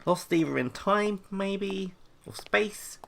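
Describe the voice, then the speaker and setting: A man's voice: sits high, slow, creaky, fairly bright tone, slight background noise, exaggerated voice. A man playing an anxious, sarcastic young intern who talks to himself nervously in an audio comedy. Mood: awkward, hesitant. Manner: nervous, hesitant, self-talking. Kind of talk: scripted audio comedy drama.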